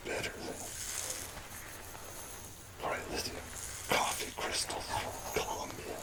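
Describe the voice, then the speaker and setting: A man playing an absurd, scatterbrained space-villain overlord in an ASMR parody roleplay, murmuring and whispering in a soft, halting, mock-pompous ramble. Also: background noise, natural voice. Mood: whispered, musing. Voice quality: soft, creaky voice dropping into whispers.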